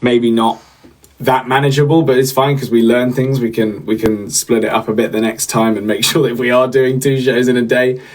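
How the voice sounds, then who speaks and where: A man's voice: mid-range voice, little pitch movement, moderate pace, a little mellow. A young man hosts a casual livestream. He rambles and trails off, filling gaps with ums and repeated phrases as he answers viewers. He speaks close to the mic in a medium voice. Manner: rambling, repeats himself, many fillers. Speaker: livestream host.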